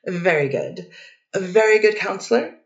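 A woman's voice: mid-range voice, lively intonation, moderate pace, vocal fry. A woman reads as a poised defense attorney working through a cross-examination one careful question at a time. The voice sits far from the mic in a roomy, echoing space. The recording sounds dull and muffled. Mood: steady. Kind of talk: readers theater courtroom reading. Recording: dull distant echoey recording.

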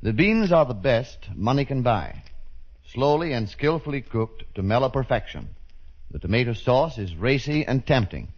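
A man reading a 1930s radio advertisement as announcer, with measured, polished salesmanship. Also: mid-range voice, animated. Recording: dull 1930s radio recording.

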